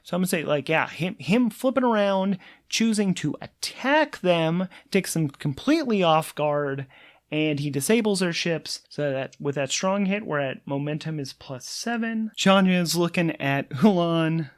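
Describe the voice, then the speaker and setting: A man with a fairly high voice plays a tabletop game by himself. He talks through rolls and story casually, thinking aloud with animated, informal delivery. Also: normal speed. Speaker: solo game master and player.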